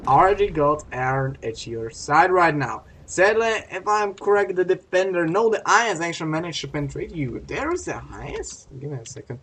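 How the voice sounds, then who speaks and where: A man's voice: a little high, animated, normal speed, a bit bright, slight background noise. Chatty young man commenting on a game replay. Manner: chatty, rambling, self-correcting. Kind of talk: casual gameplay commentary.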